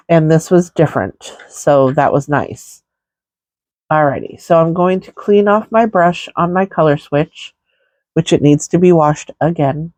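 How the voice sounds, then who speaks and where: Slow, congested woman chatting about makeup. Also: deep voice, darkish tone, no room sound. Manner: slow, rambling, many fillers. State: stuffy nose, congested. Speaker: makeup vlogger. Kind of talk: casual makeup tutorial chat.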